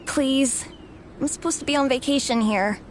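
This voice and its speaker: A woman playing an excitable young student and comic fanatic in a video game, theatrical and over-earnest. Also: sits high, normal speed, slight background noise, close to an everyday voice. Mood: pleading, defeated. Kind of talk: video game character dialogue. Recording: game music behind voice.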